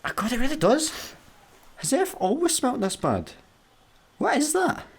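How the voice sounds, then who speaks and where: An actor with a Scottish accent playing a scruffy, childlike slacker spaceman in a sci-fi comedy radio play, excitable, flippant and given to grumbling. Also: very high voice, unhurried, creaky, breathy edge, full character voice. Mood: excited.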